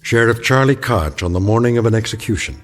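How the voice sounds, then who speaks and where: A man narrates in a measured, ominous tone, his voice low. Music runs beneath the narration. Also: expressive. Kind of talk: scripted narration.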